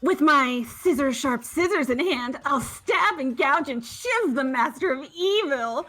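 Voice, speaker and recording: A deranged young killer girl gushes in a very high, shrill voice that bounces all over. She stretches her words out slowly and giggles through them. Her mood is manic and unhinged. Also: animated, fairly bright tone, faint music behind, low-quality recording. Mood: manic gushing. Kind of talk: fan voice acting of a game.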